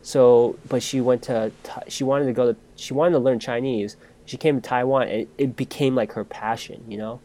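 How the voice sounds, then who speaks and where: A man's voice: medium pitch, steady tone, moderate pace, hardly any pauses, mellow. A man hosting a podcast, telling a personal story in casual chat and restarting his sentences often.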